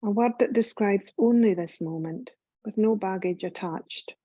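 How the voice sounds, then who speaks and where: A woman guiding a scripted meditation as a yoga nidra teacher, calm and soothing, unhurried, with long pauses between phrases. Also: medium pitch, dry recording, lo-fi sound.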